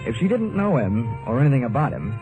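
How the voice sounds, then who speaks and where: An American man playing a cool, flirtatious hardboiled investigator with wry, deadpan delivery in a 1950s radio drama. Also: medium pitch, fast talker, over music, natural voice, poor audio. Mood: smooth narrating. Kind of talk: 1950s radio detective drama acting, first-person narration.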